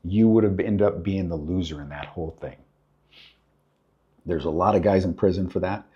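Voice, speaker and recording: A man's voice: sits low, moderate pace, breathy edge. A man in his fifties giving blunt, fatherly advice to a listener on a divorce talk channel. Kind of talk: casual spoken advice to a listener. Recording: dull lo-fi recording.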